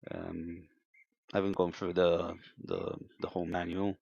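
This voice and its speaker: A man giving an unscripted hands-on equipment demonstration as a hobbyist, thinking aloud in a hesitant, halting way. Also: sits low, flat delivery, creaky, a little mellow. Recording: dull, lo-fi, echoey room sound.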